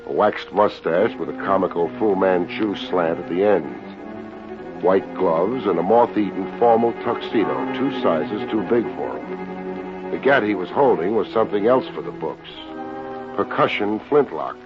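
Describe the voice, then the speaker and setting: An actor playing a wisecracking, unflappable private investigator in an American radio drama, dry and sardonic, with an American accent. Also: male voice, low voice, lively intonation, unhurried. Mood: wry narration. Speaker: lead actor narrating and playing a detective. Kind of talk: 1950s American radio detective acting. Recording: dull old radio recording with music.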